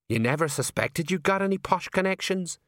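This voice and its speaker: Man acting a higher-pitched, puzzled police sergeant. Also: expressive, a little fast, a bit bright, no room sound, clean studio sound. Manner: plain-spoken, fussing, puzzled. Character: slow-witted, kindly male police sergeant. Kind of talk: audiobook character acting.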